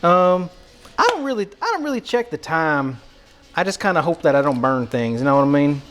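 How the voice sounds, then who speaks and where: Man putting on a folksy, higher-pitched country guy. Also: sing-song, a little fast, fairly bright tone. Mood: folksy, cheerful. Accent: put-on American country, Southern. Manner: drawling, folksy, rambling, exclamatory. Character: folksy, excitable American countryman, beer-loving and goofy. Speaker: live streamer cooking for chat. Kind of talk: casual chatty livestream.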